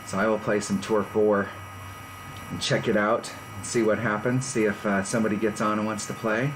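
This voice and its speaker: Laid-back man in his fifties, deepish voice. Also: level tone, normal speed, noisy background. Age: 50s. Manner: rambling, laid-back, self-deprecating. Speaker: streamer. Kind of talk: casual live-stream chat. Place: home garage.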